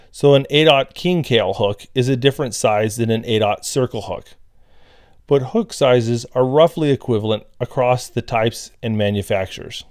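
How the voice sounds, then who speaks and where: A man reads a tutorial voice-over in a plain, steady manner, his pitch sitting in an ordinary middle range. The sound is close and dry, with no room around it. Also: dry recording. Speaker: fishing instructor.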